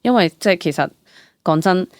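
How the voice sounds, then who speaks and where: A woman's voice: fairly low pitch, a little fast, clean tone, dry recording. A young woman with a Hong Kong Cantonese accent, an interview guest chatting candidly and expressively, dropping in English words. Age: young adult. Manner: animated storytelling, exclamations, mixes in English words. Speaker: interview guest, stage actor. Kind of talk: casual candid chat.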